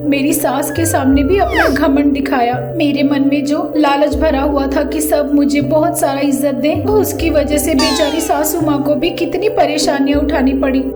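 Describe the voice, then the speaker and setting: A woman's voice: high voice, normal speed, few pauses, close to an everyday voice. A woman voicing a respectful, remorseful young daughter-in-law in a family story, earnest and polite. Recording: echoey voice over background music.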